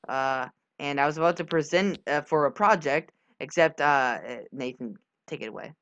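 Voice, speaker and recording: A man's voice: on the high side, normal speed, fairly bright tone, no room sound. Young teenage boy gamer, slightly muffled mic. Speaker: gaming video host. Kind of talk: casual gameplay chat and storytelling.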